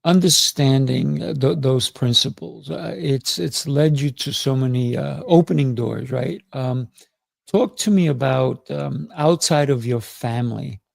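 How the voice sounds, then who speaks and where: Gravelly-voiced male podcast host. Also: medium pitch, unhurried, dry recording. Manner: affirming, encouraging. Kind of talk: casual interview hosting.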